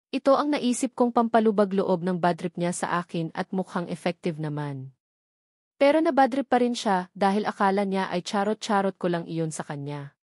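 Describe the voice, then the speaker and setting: A woman's voice: medium pitch, a little mellow, no echo, clean studio sound. A woman with a Filipino accent narrating a romance novel in the first person. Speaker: audiobook narrator.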